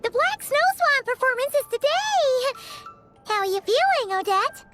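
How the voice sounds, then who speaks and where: A woman's voice: extremely high pitch, big pitch swings, a little slow, over loud music, full character voice. A woman voicing a small, chirpy, curious fairy companion with a bubbly, exclamatory delivery in a video game cutscene.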